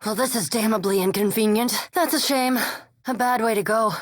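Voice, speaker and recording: A woman's voice: a little high, moderate pace, no room sound, close to an everyday voice. A woman voicing a courteous, scholarly undead elf adventurer in a video game, with formal, precise speech and dry wit. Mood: wryly concerned. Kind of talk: video game character dialogue and barks.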